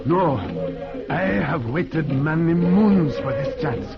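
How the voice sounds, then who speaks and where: An old, dull radio recording with music carries a big rebel commander. He speaks slowly and gravelly, in terse broken English, proud and fearless, giving orders in vintage radio drama style. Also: fairly high pitch. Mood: commanding, grim. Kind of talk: 1940s radio acting.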